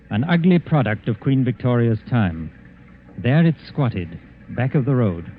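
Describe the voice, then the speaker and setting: Formal wry gentleman, bit uneasy, old 1940s radio mystery, tinny phone-like sound, hissy. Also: medium pitch. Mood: brooding, atmospheric. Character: Arthur Grange, wry uneasy gentleman narrator, old friend. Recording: tinny, noisy vintage 1940s radio recording.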